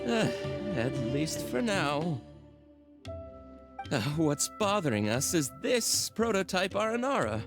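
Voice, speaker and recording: A man's voice: sits high, big pitch swings, slow, soft background music, exaggerated voice. A man voicing an anxious, hesitant toy shopkeeper in a video game. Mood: flustered. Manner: hesitant, fretful. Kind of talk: video game cutscene dialogue.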